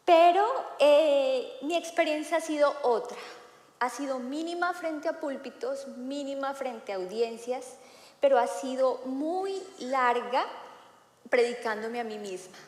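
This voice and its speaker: Thirtyish woman preacher with a somewhat high voice, talking casually from the pulpit, recorded with heavy room echo. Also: measured pace, a little mellow. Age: 30s. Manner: conversational, frequent filler "eh" and "¿no?". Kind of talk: sermon. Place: church hall. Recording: echoey hall with long reverb.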